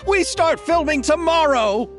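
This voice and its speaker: A man's voice: very high voice, unhurried, faint music behind, full character voice. A man voicing an eager, polite young film director in a video game, earnest and easily thrilled. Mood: overjoyed. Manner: earnest, courteous, excitable.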